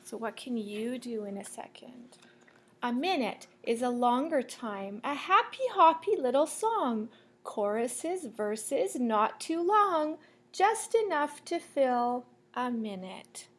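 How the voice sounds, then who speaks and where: A woman with a high voice reads aloud to children at a slow, deliberate pace. She has the manner of a teacher sharing a picture book, giving the lines a sing-song, storytelling shape. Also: lively intonation. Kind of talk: children's read-aloud storytelling with asides to listeners.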